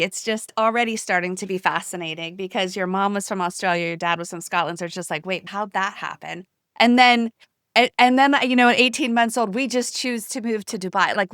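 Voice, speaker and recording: A woman's voice: mid-range voice, moderate pace, a bit bright, no room sound. Effusive woman hosting an interview, dry sound. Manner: effusive, encouraging. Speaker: podcast host, interviewer. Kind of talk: conversational interviewing.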